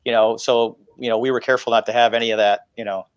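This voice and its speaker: A man's voice: mid-range voice, flat delivery, fast talker, smooth, no room sound. A middle-aged man on a video call through an earbud mic, explaining his work casually as a panel guest. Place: indoor home room with wooden glass cabinet, video call. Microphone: wired earbuds microphone.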